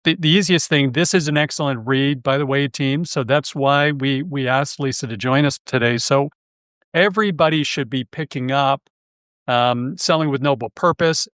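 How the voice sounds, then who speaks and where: A friendly Canadian man hosts a conversation, talking with easy enthusiasm and frequent self-corrections. His voice sits at a middle pitch and sounds close and dry. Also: fairly bright tone, dry recording. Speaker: podcast host. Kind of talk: conversational interview.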